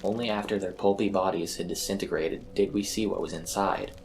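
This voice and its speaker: A man's voice: deep voice, fairly even intonation, a little fast, creaky, darkish tone, a little hiss or hum. A man narrating a scripted first-person horror story as its storyteller. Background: eerie ambient music.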